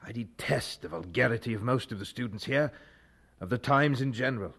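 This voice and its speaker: Earnest, hesitant young student, expressive range. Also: male voice, medium pitch, a little fast, slightly rough. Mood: anguished, confessional. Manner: formal, hesitant. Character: earnest, troubled young male university student, reserved and proper. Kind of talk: radio drama acting.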